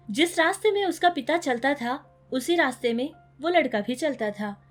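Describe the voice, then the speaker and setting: A woman storyteller reading scripted narration for a children's moral story. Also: high voice.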